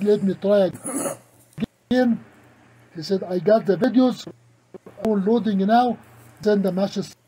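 A truck driver recounting events in a halting, repetitive vlog style, often acting out both sides of conversations. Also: medium pitch, warm tone, slightly rough, low-quality recording. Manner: halting, repetitive telling with quoted dialogue.

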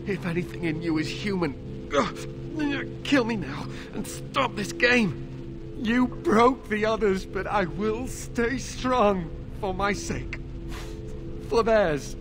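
A young knight worn down by captivity in a fantasy video game. His high voice drags slowly and haltingly, bitter, suspicious and pleading. Loud music sits behind him. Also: rough recording. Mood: anguished defiance. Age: young adult. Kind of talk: dramatic video game voice acting.

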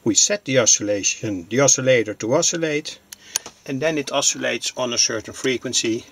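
A man giving an informal hobbyist demonstration, narrating in halting, deliberate phrases. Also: mid-range voice, fairly bright tone, a little hiss or hum. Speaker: electronics hobbyist presenter.

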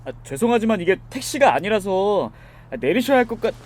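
A man playing a gentle young driver. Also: high-pitched, sing-song, fairly quick. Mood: flustered. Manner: soft, gentle, comforting. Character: young man driving, kind and soft-spoken. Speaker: voice actor in an audio drama. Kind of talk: ASMR audio drama acting.

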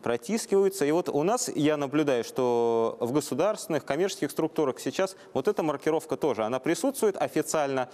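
A man's voice: on the high side, animated, a little fast, hardly any pauses, darkish tone. A man in his thirties co-hosting a talk show, speaking conversationally without a script. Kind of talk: conversational presenting, unscripted. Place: TV studio, standing before audience.

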